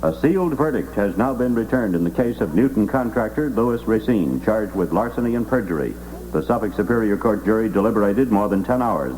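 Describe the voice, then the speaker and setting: Male radio news anchor, deep voice, lively intonation, formal scripted delivery, old AM broadcast with background hum. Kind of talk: scripted 1960s radio newscast and live ad reading. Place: radio studio. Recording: vintage 1960s AM radio recording, noisy hum.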